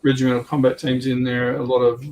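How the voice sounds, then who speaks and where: A man in casual, halting discussion as a livestream co-host, thinking aloud in an informal way. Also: mid-range voice, monotone, a little mellow, rough recording. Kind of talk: casual hobby and military history discussion. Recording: faint background noise, room ambience.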